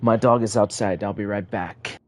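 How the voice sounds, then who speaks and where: A man streaming a game, chatting casually and reading in-game notes aloud in a relaxed way. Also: lowish voice, normal speed, a little hiss or hum. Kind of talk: casual gameplay commentary.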